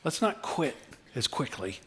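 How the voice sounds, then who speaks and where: A middle-aged male pastor giving a sermon, conversational and humorous, swinging from quiet asides to loud bursts. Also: mid-range voice, very animated, a little slow. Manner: humorous, conversational preaching with big swings in loudness.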